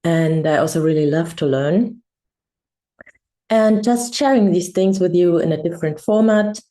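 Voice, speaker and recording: German-accented woman coach, mellow lowish voice, slow and hesitant delivery. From Bavaria, Germany. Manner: reflective, hesitant, many ums. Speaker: embodiment coach. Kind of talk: casual introductory vlog.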